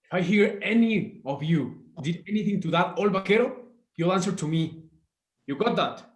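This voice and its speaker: A man's voice: high voice, expressive, moderate pace, echoey room. A man playing a tough, slangy street gang member with a conscience in a screenplay table read. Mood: threatening.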